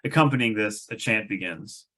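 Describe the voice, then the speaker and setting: A man's voice: on the low side, normal speed, over a noisy crowd. A man narrating a table read of a screenplay, reading stage directions with wry, amused asides.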